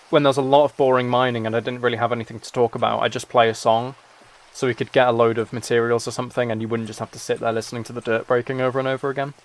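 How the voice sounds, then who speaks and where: A tired-sounding man chatting casually on a gaming livestream, rambling and interrupting himself as he replies to viewers. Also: medium pitch. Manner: rambling, self-interrupting, replying to chat comments. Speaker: livestreamer and musician.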